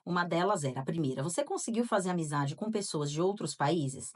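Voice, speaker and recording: This is a Brazilian woman co-hosting a podcast, her voice sitting somewhat low for a woman. She talks in a loose, chatty way and keeps tossing in little tag questions as she reads listener answers. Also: expressive, fairly quick. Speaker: podcast co-host. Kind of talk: casual podcast chat.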